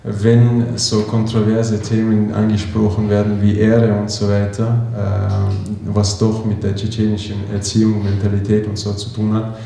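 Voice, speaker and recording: A man around thirty, from Chechnya, moderating a film evening and explaining to the audience in a halting way with many restarts. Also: on the low side, monotone, vocal fry, warm tone. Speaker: event organizer and moderator. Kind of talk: hesitant spoken explanation to an audience. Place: event hall. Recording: very echoey hall, faint noise.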